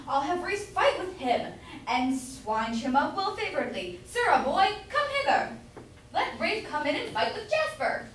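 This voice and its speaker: A woman's voice: sits high, very animated, a little slow. A woman playing a blustering, bossy London grocer and husband in an amateur staged reading of a Jacobean comedy. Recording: distant echoey room, background hiss.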